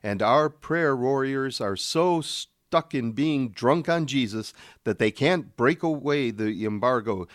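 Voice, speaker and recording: A man playing a bombastic zealot, swooping pitch. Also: lively intonation, slow pace, hardly any pauses, no room sound, studio quality. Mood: grave, reporting. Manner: bombastic, emphatic. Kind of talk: comic radio drama acting.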